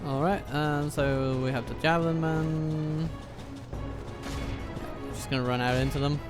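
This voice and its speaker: A man's voice: fairly high pitch, fairly even intonation, unhurried, music in the background. A man whose first language is Danish, giving casual, wry commentary while playing a strategy game and laughing at his own jokes. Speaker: let's play host.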